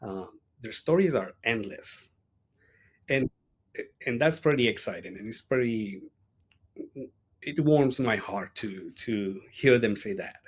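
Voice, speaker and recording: A man in his late thirties with a Colombian accent, a native Spanish speaker, reflecting on his life as an interview guest in halting, thoughtful phrases. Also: lowish voice, animated, slightly rough. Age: late 30s. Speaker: interview guest, language teacher. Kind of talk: reflective personal interview talk.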